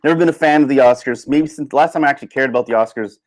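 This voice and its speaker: A man hosting a solo livestream in casual chat, rambling and halting as he thinks aloud. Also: medium pitch. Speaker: livestream host.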